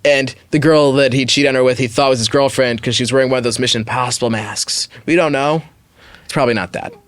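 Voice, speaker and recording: A man's voice: medium pitch, fairly even intonation, fast talker, a bit bright, no echo. A man hosting a podcast, reading an online story aloud in a casual way with wry, jokey asides. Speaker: podcast host.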